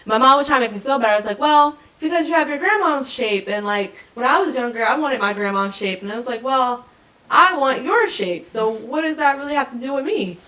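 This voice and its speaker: A young woman co-hosting a podcast, chatting casually and telling stories in an animated way with bursts of laughter. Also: high-pitched, brisk, poor audio. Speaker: podcast co-host. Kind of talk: casual chat.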